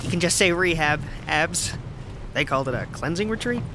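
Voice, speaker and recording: Breezy, guarded young guy, wry casual drama dialogue, lively pitch, clear background noise. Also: moderate pace. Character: Milo, breezy, guarded young man fresh from rehab. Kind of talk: naturalistic audio drama acting.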